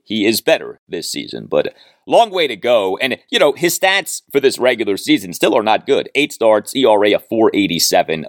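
An American man hosting a sports podcast, giving a solo baseball recap with emphatic, deliberate phrasing and dramatic pauses. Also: low-pitched, no room sound, clean studio sound.

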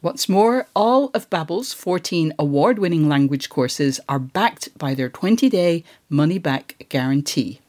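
Steady, smooth ad read. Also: mid-range voice, measured pace, few pauses. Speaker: ad reader. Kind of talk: read advertisement.

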